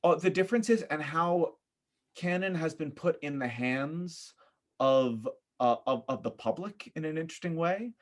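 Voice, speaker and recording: A man's voice: fairly high pitch, animated, measured pace. A man speaking as a podcast guest in casual, reflective conversation, thoughtful and often groping for his words.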